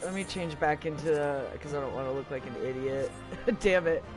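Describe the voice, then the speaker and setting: A man streaming a game, chatting casually and excitably while he plays. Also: on the high side, normal speed. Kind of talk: casual live gameplay chat. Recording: game music playing behind.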